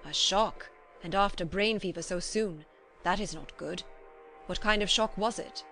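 A woman's voice: fairly low pitch, a little slow, sharp tone, faint music behind. A woman voicing a kindly old foreign male doctor in an audiobook drama, emphatic and courtly, speaking formal broken English. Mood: concerned.

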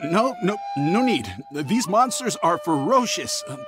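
A cowardly young merchant in a game, stuttery and panicky, voice all over the place, bit of music behind. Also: male voice, high-pitched, big pitch swings, a little slow. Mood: flustered, evasive. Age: young adult. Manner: stammering, panicky, theatrical. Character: young male traveling merchant, nervous, cowardly, evasive. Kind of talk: video game character acting.

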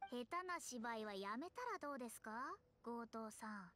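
A woman playing a proud, polite young astrologer with a prim, sharp tongue, in Japanese anime game style. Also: a little high, normal speed, a little hiss or hum, close to an everyday voice. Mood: cold, accusing. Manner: polite, precise, haughty.

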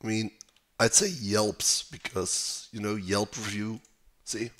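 Young male host, raspy and a touch low, slow and hesitant, solving a crossword out loud on a headset with typing behind. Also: fairly even intonation. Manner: mutters, hesitates, trails off while thinking. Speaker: puzzle video host. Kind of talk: casual think-aloud while solving a crossword. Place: small room indoors.

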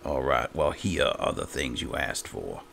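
A man's voice: a bass voice, expressive, measured pace, creaky, a bit bright, soft background music. A man giving casual roleplay commentary as a gaming video host, close on the microphone.

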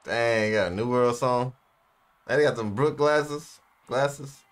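A man's voice: medium pitch, slow pace. A young American man making short, slangy, exclamatory remarks as casual reaction commentary. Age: young adult. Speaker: anime reaction show host. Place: small indoor room. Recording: music in the background. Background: anime episode music.

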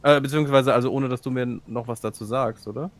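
A man's voice: mid-range voice, fairly even intonation, fast talker, a little hiss or hum. A man chatting casually as a player in a tabletop role-playing game.

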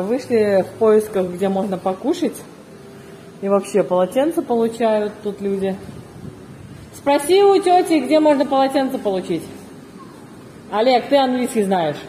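A woman's voice: medium pitch, mellow. A middle-aged woman narrating a casual family travel vlog in an effusive, exclaiming way. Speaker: family vlogger filming and narrating. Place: resort grounds, corridors and poolside. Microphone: handheld phone camera. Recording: noisy handheld travel vlog recording.

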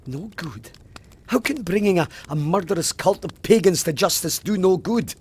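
An actor playing a stern, pious Scottish police sergeant in an audio drama, officious, pompous and indignant. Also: male voice, high voice, expressive, normal speed, a little mellow, husky, heavily acted. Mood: righteously indignant. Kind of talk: full-cast audio drama acting.

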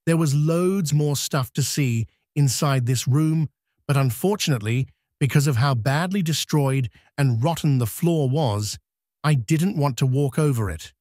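A man's voice: mid-range voice, measured pace, darkish tone, dry recording. Dry-recorded man narrating. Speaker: urban exploration vlogger. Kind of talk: explanatory voice-over.

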